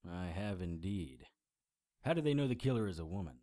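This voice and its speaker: A man's voice: low-pitched, big pitch swings, fairly quick, dark tone. An actor playing a wry, world-weary private investigator who narrates his own story in a dry, sardonic way. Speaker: narrator and lead. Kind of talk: hardboiled audio drama narration.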